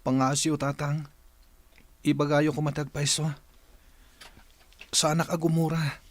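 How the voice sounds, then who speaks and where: Man acting plaintive young son, evenly pitched. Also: flat delivery, a little slow, dry recording, close to an everyday voice. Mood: hurt. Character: hurt, dutiful young adopted son. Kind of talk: Ilocano radio drama acting.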